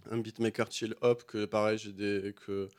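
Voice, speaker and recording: A man's voice: lowish voice, level tone, normal speed. A man, a beatmaker guest on a radio show, talking casually about making music, with loose slangy phrasing and many fillers.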